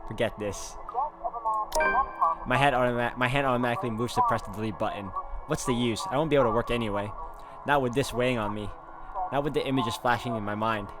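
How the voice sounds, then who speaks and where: A man's voice: medium pitch, quick pace, pauses often. A man hosting a game walkthrough, reading a visual novel aloud expressively and adding his own commentary. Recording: loud music over voice.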